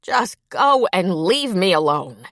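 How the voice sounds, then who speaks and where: A woman's voice: mid-range voice, sing-song, takes it slowly, fairly bright tone, no room sound, full character voice. Woman acting a curt, weary older woman in pain. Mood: irritated, complaining. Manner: dry, curt, complaining. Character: irritable, pained older woman, weary and dismissive. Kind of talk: audiobook character dialogue.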